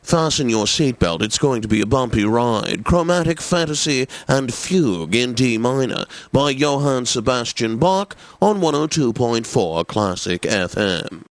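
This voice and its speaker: A man playing a pompous, refined classical radio DJ in a video game, presenting with affected, deliberate enunciation and droll gentility. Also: mid-range voice, expressive, no echo, close to an everyday voice. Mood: genteel, self-satisfied.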